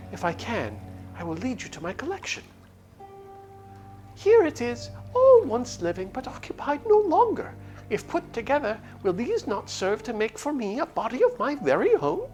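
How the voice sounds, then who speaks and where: A woman's voice: on the high side, wildly swooping pitch, moderate pace, a little mellow, soft background music, pitched above the performer's own voice. A woman voicing an eager, wistful spirit of light from a fantasy game, speaking in grand, formal, archaic phrases with emphasis. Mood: grateful, eager. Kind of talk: theatrical read-aloud of a fantasy game character.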